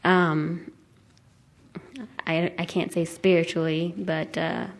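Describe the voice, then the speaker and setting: A woman giving a personal testimony to a group in a hesitant, halting way. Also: fairly low pitch, level tone. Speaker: attendee sharing personal story at a conference.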